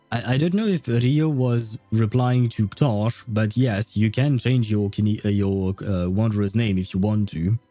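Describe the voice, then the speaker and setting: Male game streamer, soft mellow tone, dry close sound with music underneath, loose rambling chat. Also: on the low side, no echo. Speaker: livestream host.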